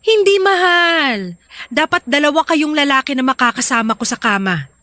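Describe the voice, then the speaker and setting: A woman's voice: high-pitched, animated, moderate pace, pushed and bright, no room sound, studio quality. An actress with a Filipino accent playing an insistent wife who pleads with and coaxes her reluctant husband in a radio drama. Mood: insistent, coaxing.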